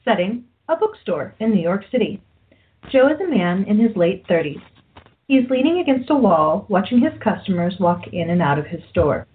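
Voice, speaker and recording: Woman narrator with lively intonation, phone-like sound. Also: medium pitch, moderate pace. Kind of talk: scripted narration of a radio play, reading stage directions. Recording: muffled, narrow phone-like audio.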